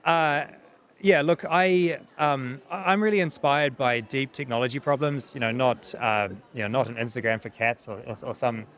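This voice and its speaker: A man in his thirties with a New Zealand accent answers interview questions earnestly, often pausing and repeating words as he thinks. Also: medium pitch, a bit bright. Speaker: technology company founder, interview guest.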